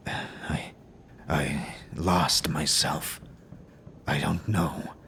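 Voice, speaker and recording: A man's voice: a bass voice, steady tone, extremely slow, creaky, a bit bright, slight background noise, full character voice. A man playing a solemn, brooding warrior companion in an audio drama. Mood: shaken, grave. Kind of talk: audio drama character acting.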